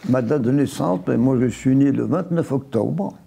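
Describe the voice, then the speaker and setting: A man in his nineties recounting his life in an interview, unhurried, often restarting and correcting himself. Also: medium pitch, gravelly, warm tone, a little hiss or hum. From France, grew up near Évian after early childhood in Italy. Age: 90s. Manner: unhurried storytelling with frequent restarts and self-corrections. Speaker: veteran interviewee. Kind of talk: oral history interview, recounting memories.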